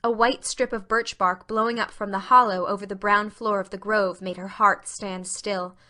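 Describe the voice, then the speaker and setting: A woman narrating a novel as a dramatic reading, with expressive literary storytelling. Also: mid-range voice, normal speed.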